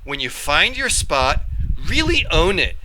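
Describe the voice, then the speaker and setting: Man reading as a bright-voiced, encouraging coaching dad. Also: on the high side, sing-song, unhurried, a little hiss or hum, exaggerated voice, low-quality recording. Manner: plain-spoken, instructive. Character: boy's calm, encouraging father coaching wheelchair basketball. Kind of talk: storybook dialogue read aloud.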